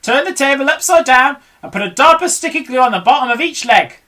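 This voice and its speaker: Storybook monkey father, frantic and bossy, yelling in a high, swooping voice, as a reader puts him on. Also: very animated, unhurried, exaggerated voice. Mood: eager. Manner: shouting orders, frantic. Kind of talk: storybook read-aloud.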